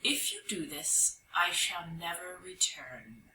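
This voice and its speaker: A woman voicing a young hyena who poses as a commanding ghostly spirit, declaiming slowly with stern authority in a storybook. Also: on the low side, lively intonation, bright, forceful tone, roomy sound, slight background noise, full character voice, low-quality recording.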